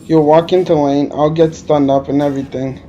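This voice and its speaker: A man's voice: fairly high pitch, little pitch movement, normal speed, mellow, lo-fi sound, slight background noise. A man from Alberta with a Quebec French-tinged accent, rambling through gameplay commentary with many hesitations. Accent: Quebec French-tinged English. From Alberta, Canada. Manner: rambling, hesitant, lots of uh fillers. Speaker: gamer.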